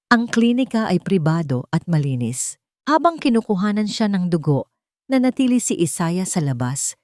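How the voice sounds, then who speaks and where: A woman's voice: on the low side, dark tone, no room sound, studio quality. A woman reading a scripted romantic story as a dramatic narrator. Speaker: narrator of romance audio stories.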